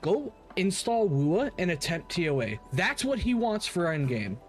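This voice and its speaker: Animated, higher-voiced man streaming a game. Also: measured pace, faint music behind. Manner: blunt, opinionated, heavy swearing. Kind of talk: casual live stream commentary.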